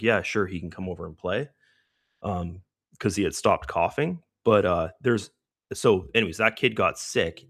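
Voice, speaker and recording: A middle-aged man hosting a live trading stream, hoarse and losing his voice, who rambles casually through market commentary with many fillers. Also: deep voice, fairly bright tone, no room sound, clean studio sound. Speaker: trading livestream host.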